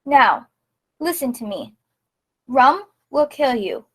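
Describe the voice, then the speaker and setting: A woman's voice: high voice, animated, takes it slowly, slightly rough, exaggerated voice. A woman playing a blunt, stern male doctor in a radio play. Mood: stern, scolding.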